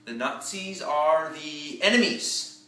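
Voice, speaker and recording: Man acting a forceful, sharp-voiced army general. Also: on the high side, lively intonation, exaggerated voice. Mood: fierce, commanding. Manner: emphatic, commanding, deliberate with dramatic pauses. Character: tough, profane American army general rallying his troops. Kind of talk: theatrical war-movie monologue. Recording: roomy echo, distant microphone.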